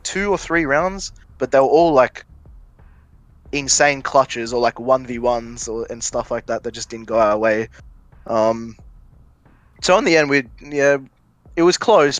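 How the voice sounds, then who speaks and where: A man's voice: medium pitch, a bit bright, no echo. Casual male gamer, dry close sound. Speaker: esports player, team captain being interviewed. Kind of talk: casual post-match interview.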